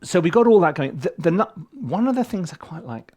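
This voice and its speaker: A man giving a casual video tutorial as a composer and tutor, with a playful, rambling and animated way of talking. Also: sits high, brisk, husky. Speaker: film composer and music tutor.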